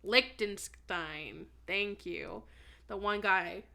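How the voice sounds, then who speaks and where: A woman's voice: medium pitch, drawn-out words, gravelly, sharp tone. A young woman co-hosting a podcast in casual chat, blunt and emphatic, with plenty of swearing. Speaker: podcast co-host.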